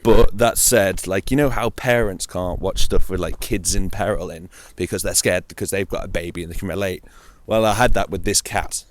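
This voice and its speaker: A man with a fairly low-pitched voice hosts a casual audio log about video games. He talks informally, as if to a friend, and drops in playful, wry asides. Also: normal speed, a little hiss or hum. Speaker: host of a gaming audio log.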